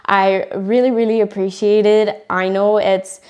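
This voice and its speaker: A woman's voice: medium pitch, level tone, a little slow, darkish tone. A young woman with a French accent, native French speaker, hosting a casual motivational podcast in English, earnest and full of fillers and restarts. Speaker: podcast host.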